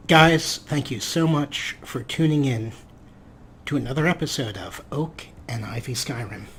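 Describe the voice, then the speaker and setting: Slow-paced man doing wry gameplay commentary. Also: mid-range voice, a bit bright, slight background noise. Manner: wry, unhurried, talks to himself. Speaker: let's play host.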